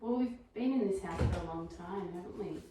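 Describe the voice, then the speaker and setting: A woman's voice: lowish voice, brisk. A woman playing a home seller in a role-play meeting, agreeable and brief in her replies. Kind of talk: acted sales meeting. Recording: echoey room, far mic, background noise.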